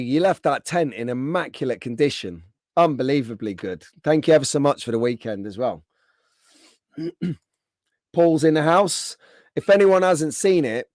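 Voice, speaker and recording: Chatty man, slightly hoarse. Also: a little high, a little mellow, no room sound, clean studio sound. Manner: chatty, greets viewers by name. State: slightly hoarse, under the weather. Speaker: live stream host. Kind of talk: casual live stream chat.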